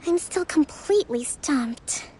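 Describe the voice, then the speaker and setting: A woman voicing a gentle, composed young female leader in a video game, speaking in a measured, thoughtful, formal way. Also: sits high, lively intonation, a little hiss or hum, poor audio. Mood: weary. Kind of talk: video game character acting. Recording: music playing behind the voice.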